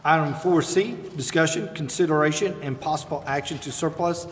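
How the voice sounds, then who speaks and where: A man presiding over a city council meeting, reading agenda items and calling votes in a brisk, businesslike way. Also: fairly high pitch. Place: council chambers. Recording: reverberant chamber with faint hiss.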